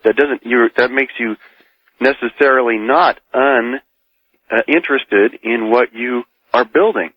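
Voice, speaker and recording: Argumentative American radio caller, muffled dry line. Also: male voice, medium pitch, measured pace, no echo. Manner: argumentative, probing with pointed questions. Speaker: caller debating on a radio show. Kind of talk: live phone-in debate. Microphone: telephone. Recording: muffled phone call over old radio.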